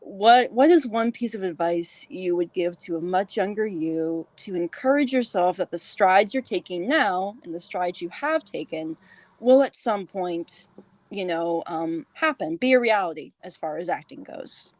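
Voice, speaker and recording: A woman's voice: on the low side, lively intonation, measured pace, a little mellow, no room sound. A woman hosting a casual interview, chatting in a playful, giggly manner. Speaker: podcast host, actor.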